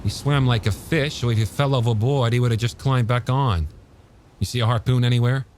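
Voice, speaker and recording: Guy doing a nervous younger deputy in an audiobook, stammery and unsure, pitched up, bit of background hiss. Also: steady tone, fairly quick, close to an everyday voice. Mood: baffled. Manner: stammering, questioning. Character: younger male deputy, hesitant, nervous, eager.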